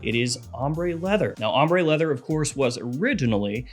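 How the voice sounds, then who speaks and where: A man's voice: mid-range voice, normal speed, faint music behind. A man in his thirties with a head cold, chatting animatedly as he reviews fragrances in a small room. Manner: chatty, animated, gesturing with hands. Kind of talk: casual video review. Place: small room with lit shelves of bottles.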